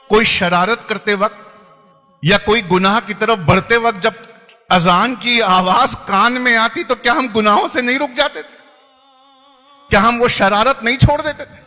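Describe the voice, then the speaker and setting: A man with an Indian accent giving impassioned religious oratory in a deliberate, rhetorical, emphatic way. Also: very high-pitched, sharp tone. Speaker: religious speaker addressing a gathering. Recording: echoey hall PA with background music.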